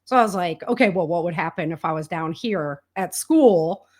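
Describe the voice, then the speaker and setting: A woman's voice: fairly low pitch, lively intonation, normal speed, a little mellow. American woman, candid casual storyteller. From rural United States. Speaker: guest, indie author and entrepreneur.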